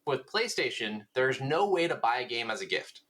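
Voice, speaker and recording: A man's voice: mid-range voice, sing-song, normal speed, a bit bright. A man co-hosting a gaming podcast, talking in an informal, conversational way. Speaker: podcast co-host. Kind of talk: casual gaming podcast chat.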